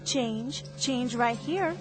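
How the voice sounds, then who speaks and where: A courteous, firm casino attendant in a radio play. Her high voice delivers businesslike service lines over background music. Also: extremely slow. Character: polite, unbudging casino change girl. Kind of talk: radio drama acting. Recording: radio drama scene with music underneath.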